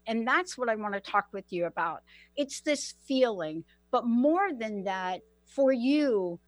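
A woman's voice: a little high, no echo. Effusive woman radio host, dry sound. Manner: animated, effusive, repeats herself. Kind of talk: radio interview.

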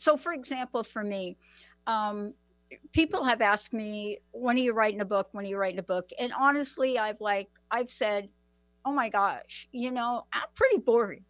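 A woman hosting a radio interview show, talking in an animated, effusive way and often repeating herself. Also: fairly high pitch, dry recording.

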